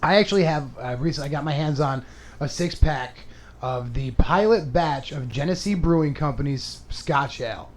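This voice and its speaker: Male comic podcast host, slightly high voice, profane and self-deprecating, wandering casual delivery. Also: normal speed, slight background noise. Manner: rambling, self-mocking, profane. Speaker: podcast host and stand-up comedian. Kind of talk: casual, crude comedic podcast chat.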